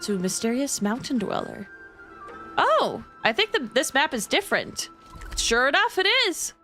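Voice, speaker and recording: A woman livestreaming a game, chatting casually and reacting in an animated, chatty way. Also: sits high, normal speed. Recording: faint game music behind. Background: game music.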